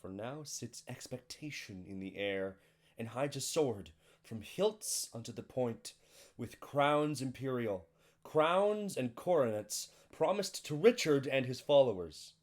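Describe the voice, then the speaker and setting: An actor playing a solemn male chorus and bearer of grievous news, declaiming Shakespearean verse in a measured manner. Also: mid-range voice, expressive. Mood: solemn, portentous.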